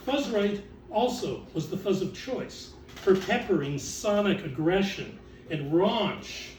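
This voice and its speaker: A man reciting written text as author and curator, in a theatrical, deliberate way with drawn-out emphasis. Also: fairly high pitch, a little mellow. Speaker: author and exhibition curator reading aloud. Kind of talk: dramatic recitation of catalog text to an audience. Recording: roomy, distant mic with background noise.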